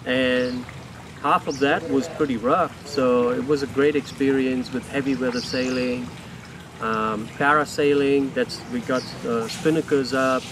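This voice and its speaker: A man's voice: medium pitch, steady tone, measured pace, background noise. A man answering interview questions casually, hesitant and thinking aloud with fillers, outdoors at a marina. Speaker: guest crew member interviewed.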